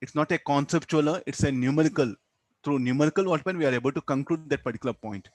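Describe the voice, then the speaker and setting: A man with an Indian accent teaching physics, explaining step by step in a halting way, repeating words and tagging on "huh" and "okay". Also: fairly high pitch, a little mellow, dry recording, poor audio. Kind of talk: classroom lesson, explaining a worked problem.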